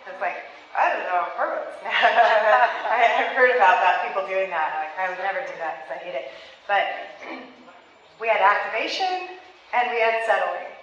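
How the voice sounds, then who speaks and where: A woman's voice: medium pitch, animated, moderate pace, a bit bright, lo-fi sound. A woman in her thirties, a therapist leading a parenting workshop for a small group, talking with her hands and laughing often. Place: meeting room with flip charts on the walls. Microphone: handheld mic, filmed from across the room. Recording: echoey room heard from a distance.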